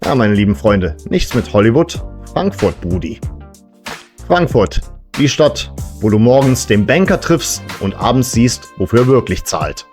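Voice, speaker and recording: Man, medium pitch, casual satirical monologue, tongue-in-cheek, fan show host, music behind. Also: lively intonation, moderate pace, a little mellow. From Serbian family background. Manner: tongue-in-cheek, joking asides.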